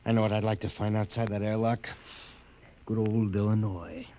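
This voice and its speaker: An actor with an American accent playing a brash, homesick, down-to-earth lieutenant in a 1950s radio drama. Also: male voice, on the low side, little pitch movement, normal speed, warm tone, lightly acted. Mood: nostalgic. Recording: noisy 1950s radio recording.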